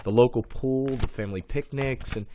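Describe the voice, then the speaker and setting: A man's voice: medium pitch, normal speed, warm tone, a little hiss or hum, natural voice. A young man playing a curious, persistent nephew in an amateur audio drama. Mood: insistent.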